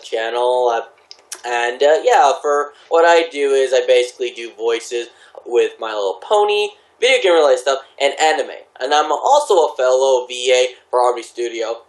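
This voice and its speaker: A man, an amateur voice actor, chatting casually on an online voice call. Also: medium pitch. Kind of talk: casual chat. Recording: roomy, echoey call audio.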